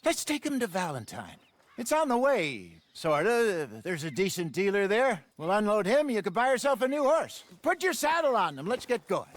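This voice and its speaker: An actor playing a seasoned, easygoing American frontier hunter and outlaw with folksy talk in a video game cutscene. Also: male voice, high voice, very animated, moderate pace, no room sound. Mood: casual, wry.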